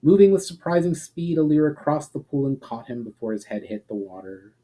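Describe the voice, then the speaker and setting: A man narrating a fantasy story as an expressive, dramatic reader. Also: a little high, fairly quick, low-quality recording. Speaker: narrator and author.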